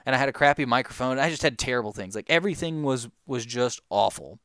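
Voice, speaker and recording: Smooth-voiced young man chatting casually. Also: medium pitch, fast talker, pushed and bright, no echo, clean studio sound. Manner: rambling, many fillers and restarts. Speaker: gaming YouTuber answering viewer questions. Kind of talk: casual Q&A chat.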